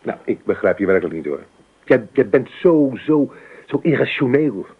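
An actor in a Dutch radio play, playing an irritable, practical husband who halts and repeats himself in exasperation. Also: male voice, medium pitch, very animated, breathy edge. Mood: accusing. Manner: exasperated, halting, repeats words. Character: irritable, practical husband weary of his wife. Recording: muffled, tinny band-limited radio recording.

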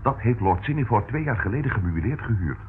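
An actor playing a keen, helpful male police detective in a Dutch radio play, deliberate and respectful. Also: lowish voice, lively intonation, natural voice. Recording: tinny, band-limited old radio recording with noise.

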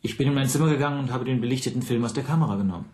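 A man's voice: medium pitch, rapid-fire, a little mellow, close to an everyday voice. An actor in a radio drama playing an earnest, easily indignant man under questioning, speaking plainly and precisely. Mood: cooperative, defensive. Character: earnest, indignant detained language teacher, an innocent man. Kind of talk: naturalistic radio drama acting.

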